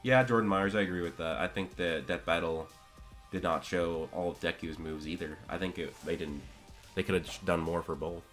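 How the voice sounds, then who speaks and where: A man's voice: low-pitched, fairly even intonation, moderate pace, a bit bright, faint music behind. A man live-streaming, chatting casually and answering viewers' questions, his voice getting scratchy from a long day of talking. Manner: answers chat questions, rambling with restarts. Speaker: streamer discussing anime with chat.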